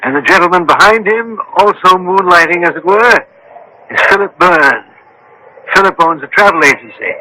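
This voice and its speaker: An actor playing a brisk, self-assured doctor-detective in a vintage radio mystery, formal, authoritative and dryly witty. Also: male voice, sits high, pushed and bright, heavily acted. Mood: brisk, commanding. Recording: noisy old radio broadcast recording.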